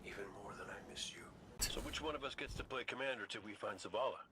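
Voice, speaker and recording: An actor playing a cocky but soft-hearted robot gunslinger in a video game, with a folksy, wry drawl. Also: male voice, lowish voice, quick pace, close to an everyday voice. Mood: joking. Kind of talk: scripted video game dialogue. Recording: clear background noise, slightly distant.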